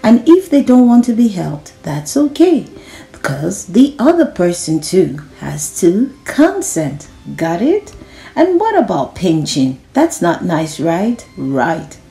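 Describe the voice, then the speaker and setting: A woman hosting a children's read-aloud, animated and encouraging, speaking directly to her young listeners with little check-in questions. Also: fairly low pitch, slow pace, soft background music.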